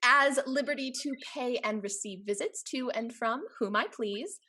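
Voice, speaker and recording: A woman playing a free-spirited, witty heiress in a Restoration comedy, with an arch, theatrical and deliberate delivery. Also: a little high, bright, forceful tone. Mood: imperious, teasing. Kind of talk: Restoration comedy stage dialogue.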